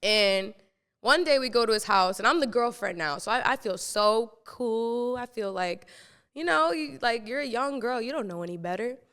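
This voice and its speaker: A woman's voice: a little high, animated, moderate pace, a bit bright, smooth, big-room sound. A young woman, hosting a podcast and telling stories from her own life in a chatty, slangy way full of fillers. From San Francisco Bay Area. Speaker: podcast host. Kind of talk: casual storytelling.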